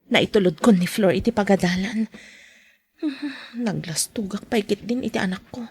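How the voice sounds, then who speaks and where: A woman acting a married mother who keeps a secret, in a soft confiding podcast drama style. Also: mid-range voice, moderate pace, slightly rough, no room sound. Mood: secretive. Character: married mother at home, drawn into a secret affair. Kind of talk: Philippine podcast radio drama acting with narration.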